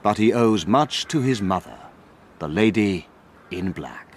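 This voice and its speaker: An actor playing a reflective friend who narrates the tale in a measured, literary voice in a radio drama. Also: male voice, fairly low pitch, animated, a little hiss or hum. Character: reflective male narrator, loyal friend looking back. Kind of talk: dramatized first-person storytelling, radio drama.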